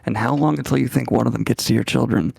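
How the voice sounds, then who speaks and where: A man playing his character in a tabletop game with a put-on Chicago accent, talking low and threatening. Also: fast, vocal fry, a little mellow, slightly rough. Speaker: player. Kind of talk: in-character role-play.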